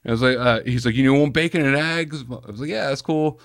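A man's voice: mid-range voice, fast, no room sound, clean studio sound. A man with a Southern American accent, a touring musician casually telling stories in an interview and acting out the dialogue. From Alabama, American South. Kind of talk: casual chat, storytelling.

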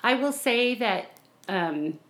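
A woman in her forties with a low, nasal voice, chatting casually and self-deprecatingly as a mother on a podcast. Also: expressive, slow pace, fairly bright tone. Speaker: mother of the host, podcast guest. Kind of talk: casual chat. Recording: roomy echo.

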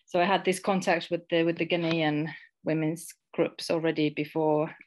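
A middle-aged woman from Finland, a guest speaking casually in an interview, pausing and restarting often. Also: low voice, flat delivery, darkish tone. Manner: halting, restarts often, many fillers. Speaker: guest, social enterprise founder.

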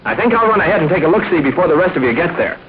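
A clean-cut teenage boy hero from a vintage radio serial. He talks fast and confidently with lively swings in pitch, on a tinny old recording. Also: a little hiss or hum. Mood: keen, alert. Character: bold, keen all-American teenage boy hero. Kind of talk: old-time radio adventure serial acting.

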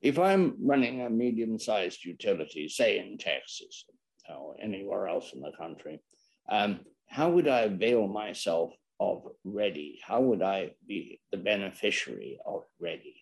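A man's voice: on the low side, lively intonation, unhurried, vocal fry, low-quality recording. A man interviewing a guest with direct, probing questions.

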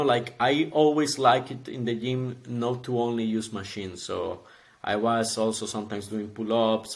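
A man in his twenties from Costa Rica with a Costa Rican accent, chatting in a friendly way as a podcast guest who laughs often. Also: mid-range voice. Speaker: podcast guest, calisthenics athlete. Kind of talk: casual interview.

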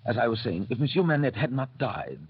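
An actor playing a reserved, businesslike English banker and narrator in an old radio drama, with a measured, formal way of talking. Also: male voice, medium pitch, sing-song, husky. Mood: careful, grave. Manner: measured, formal, hesitant at delicate news. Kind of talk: 1930s radio acting. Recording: dull, noisy old radio recording.

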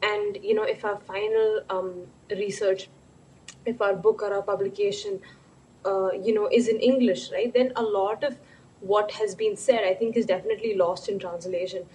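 A woman's voice: medium pitch, steady tone, moderate pace, a little mellow, a little hiss or hum, poor audio. A woman interviewing a scholar, asking long, reflective questions that she frames carefully before getting to the point.

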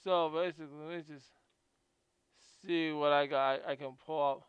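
A man's voice: a little high, steady tone, normal speed, clean tone, dry recording, highs cut off. A man hosting a casual video, reading from notes about a guest and often stumbling and repeating words.

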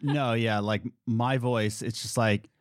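A male podcast co-host in casual chat who shifts between a low relaxed voice and loud, high bursts when excited. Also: takes it slowly, dry recording, clean studio sound. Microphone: studio podcast mic.

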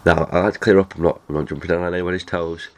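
Male podcast host, very low voice, stuffy nose from a cold, rambling chatty delivery. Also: steady tone, rapid-fire. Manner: rambling, chatty, many restarts. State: nasal from a cold. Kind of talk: casual chat.

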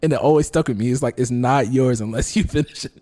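A man's voice: mid-range voice, fast. A man co-hosting a casual podcast chat, teasing and making crude jokes. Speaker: podcast co-host. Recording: noisy background with clicks.

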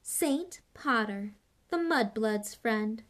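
A woman's voice: medium pitch, lively intonation, moderate pace, fairly bright tone. A woman voicing a spoiled, arrogant schoolboy bully in an audiobook, scornful, impatient and boastful. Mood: contemptuous. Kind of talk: audiobook character voice.

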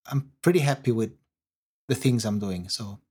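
A man with an Italian accent and Italian as his first language, a guest on a podcast interview, answering in halting English as he searches for words. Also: mid-range voice, warm tone, husky. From Italy. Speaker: guest composer.

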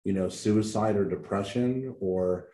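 Man, deep warm voice, earnest guest, echoey room. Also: a little slow. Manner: earnest, steady explaining. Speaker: teen center staff guest. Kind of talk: interview answers. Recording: roomy echo.

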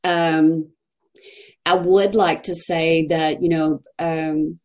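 A woman with a Texan accent speaks slowly and hesitantly, pausing often as a podcast guest. Her voice sits a little low and sounds mellow and warm. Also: level tone. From Texas. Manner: soft-spoken, hesitant, many pauses and fillers. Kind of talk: personal testimony in a podcast interview.

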